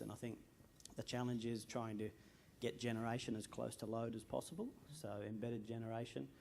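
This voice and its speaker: A man's voice: mid-range voice, creaky, warm tone, slight background noise. A man on an energy panel, explaining his points steadily. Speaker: panelist, energy industry adviser. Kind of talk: panel answer. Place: conference room.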